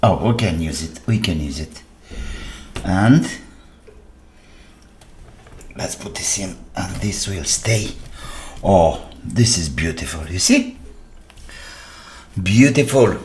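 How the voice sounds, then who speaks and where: A man with a low voice and non-native English talks slowly through a hands-on repair. He thinks aloud in a halting, casual way, over clear background noise with clicks and clatter of parts. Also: animated, a little mellow. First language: non-native English speaker. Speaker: repair channel host.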